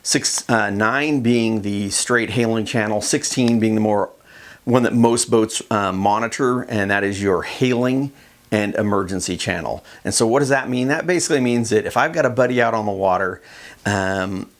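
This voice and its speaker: A middle-aged man with an American accent gives informal, conversational instruction, unscripted and with hesitant pauses. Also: on the low side. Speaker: fishing show host and instructor.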